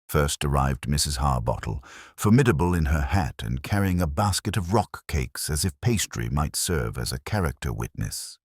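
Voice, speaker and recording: A man's voice: very deep voice, very animated, normal speed, slightly rough, dry recording, studio quality. A man narrating a scripted detective story as its storyteller.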